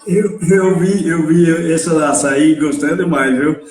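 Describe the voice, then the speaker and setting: A man from Cuba talks as a guest on a live chat. He speaks in a measured way and hesitates as he starts. His fairly high voice comes through with the echo of a room. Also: steady tone, darkish tone. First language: Spanish. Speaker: guest chemist. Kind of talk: casual live talk. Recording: echoey room.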